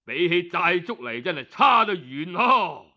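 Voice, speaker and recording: A man's voice: high-pitched, very animated, measured pace, vocal fry, bright, forceful tone, highs cut off, exaggerated voice. A man voicing a shrewd, respectful minister of an ancient Chinese court, speaking Cantonese in an earnest, persuasive manner. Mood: sighing admiration. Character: deferential, shrewd male minister advising his lord.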